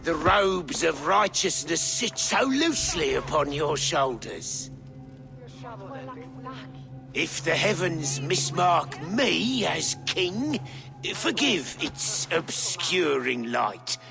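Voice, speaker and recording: A man playing a weary, courtly figure in a video game cutscene, speaking with deliberate, theatrical, wry reproach in a creaky voice. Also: a little high, big pitch swings, full character voice. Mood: wry, solemn. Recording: voice under loud background music. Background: cinematic game music.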